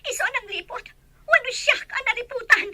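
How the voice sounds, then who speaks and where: A woman's voice: extremely high pitch, a little fast, sharp tone, a little hiss or hum, heavily acted. A woman playing a headstrong, emotional young woman in an Ilocano audio drama. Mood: defiant. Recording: music under the voice.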